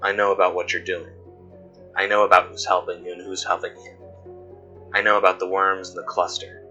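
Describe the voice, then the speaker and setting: A haunted woman played by a man confides dark secrets in a horror audio drama. The voice is low and grave, with music running beneath it. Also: flat delivery, fairly quick. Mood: grave, troubled. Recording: music bed under voice. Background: background music.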